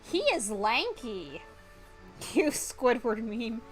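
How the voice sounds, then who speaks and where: A woman streamer reading a game aloud and commenting on it in a playful, animated, exclamatory way. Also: on the high side, drawn-out words, soft background music. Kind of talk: live game commentary and dramatic read-aloud.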